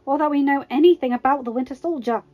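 A commanding, exasperated female secret agent in a fan story, acted out by a woman reader. Her voice is fairly high and bossy. The recording is slightly dull with soft music behind it. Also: expressive, normal speed, dry recording.

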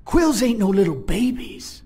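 A blunt folksy space pirate. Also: male voice, sits high, sing-song, measured pace, dark tone, husky, full character voice. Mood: gruff. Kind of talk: video game voice acting.

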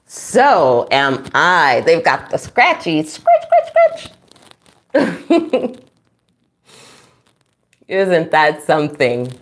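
A middle-aged woman reads aloud as a children's storytime host. Her pace is extremely slow and deliberate, with a pitch that swings widely. She stresses words in a sing-song way, exclaims often and puts questions to her young listeners. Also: wildly swooping pitch. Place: small room at home, indoors.

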